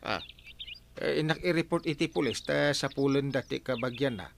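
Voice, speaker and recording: A man playing a bumbling, teasing villager in a comedy drama, stammering and excitable. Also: medium pitch, normal speed, gravelly, close to an everyday voice. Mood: bumbling, evasive. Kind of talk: broadcast comedy drama acting. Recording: loud background noise with birdsong.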